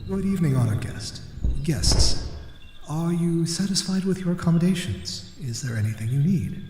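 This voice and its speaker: A man playing a courteous, scholarly library keeper in an ASMR roleplay, with formal, deferential, measured delivery. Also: on the high side, expressive, a little mellow, faint music behind, close to an everyday voice. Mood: attentive. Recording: echoey hall reverb.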